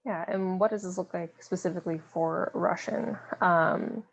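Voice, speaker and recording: A young woman who tutors language, introducing herself in a relaxed, hesitant way with many fillers. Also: fairly low pitch, moderate pace, dull audio. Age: young adult. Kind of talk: casual self-introduction on a video call.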